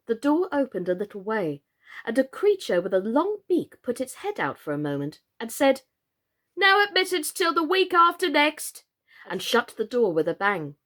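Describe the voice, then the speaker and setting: A woman who is a professional actress reading a children's classic aloud, with a theatrical, expressive delivery. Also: mid-range voice. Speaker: storyteller.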